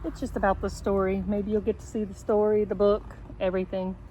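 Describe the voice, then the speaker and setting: A woman's voice: mid-range voice, level tone, a little fast, warm tone, low-quality recording. A woman teacher talking casually and reading a picture book aloud, with a chatty, rambling, self-deprecating way of speaking. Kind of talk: casual vlog chat and storybook read-aloud. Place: outdoors in sunshine. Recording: homemade outdoor recording with background noise.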